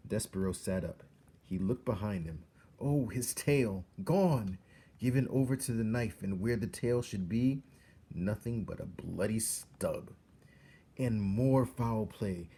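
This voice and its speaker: A man's voice: fairly low pitch, expressive, measured pace, mellow, slightly rough. A man reading a storybook aloud as a dramatic narrator. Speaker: storybook reader.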